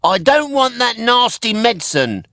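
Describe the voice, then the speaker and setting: A man voicing a naughty young wooden puppet boy for a storybook, whiny, excitable and exaggerated. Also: extremely high pitch, a little slow, vocal fry, music in the background, pitched above the performer's own voice, full character voice. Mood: whiny protest.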